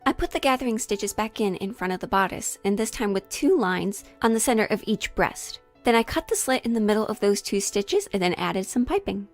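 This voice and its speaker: A woman's voice: mid-range voice, lively intonation, brisk, few pauses, soft background music. A woman sewing vlogger talking through her project, part narration and part casual chat.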